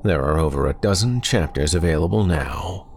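Very deep, gravelly male horror host. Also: slight background noise. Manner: deliberate, theatrical, ominous delivery. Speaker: podcast host. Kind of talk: scripted horror podcast introduction.

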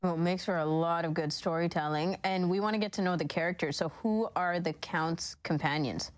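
A woman's voice: lowish voice, fairly quick. A woman co-hosting a talk show in casual, chatty style with frequent asides. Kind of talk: casual on-air chat.

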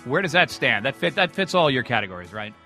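A man's voice: medium pitch, sing-song, fast talker. An American man hosting a radio music interview in a playful, informal, conversational way.

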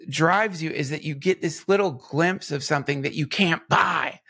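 A middle-aged man talking in a casual podcast conversation, animated and rambling, with many restarts and trailing asides. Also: a little high, gravelly, husky, no room sound, clean studio sound. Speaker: podcast co-host.

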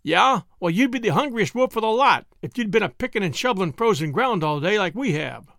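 Man doing a scolding, jeering soldier, high swinging voice. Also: very animated, fairly quick, vocal fry, fairly bright tone, dry recording, studio quality, full character voice.

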